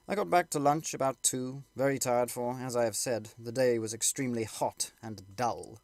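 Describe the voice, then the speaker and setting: A man's voice: medium pitch, a little mellow, no room sound. A man reading a literary story aloud as scripted audiobook narration.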